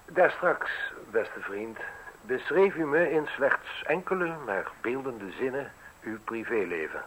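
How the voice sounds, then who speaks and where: An actor playing a self-assured, mocking man in his thirties in a radio drama, speaking deliberately with ironic, theatrical pauses. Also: mid-range voice, big pitch swings, a bit bright, muffled recording, a little hiss or hum. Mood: interrogating, smooth. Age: 30s. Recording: husky-sounding radio play recording.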